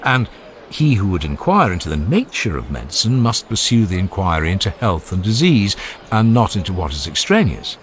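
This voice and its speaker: A man narrating an audiobook of a classical philosophical dialogue in a measured, formal and emphatic way. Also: deep voice, sing-song, a little hiss or hum. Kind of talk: dramatized scripted reading of a classical philosophical dialogue.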